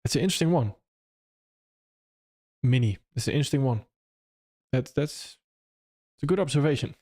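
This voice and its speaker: A man's voice: medium pitch, expressive, moderate pace, a little mellow. A young man, not a native English speaker, hosting a live stream, reading viewer questions aloud and answering in a loose, conversational way with many restarts. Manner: loose and conversational, frequent restarts and "if that makes sense". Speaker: trading stream host.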